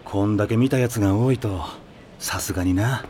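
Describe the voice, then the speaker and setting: A man playing a calm senior high school boy in an anime audio drama, with a measured, solemn way of talking in casual slang. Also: slight background noise, natural voice. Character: calm, gentle-faced senior high school boy telling ghost rumours.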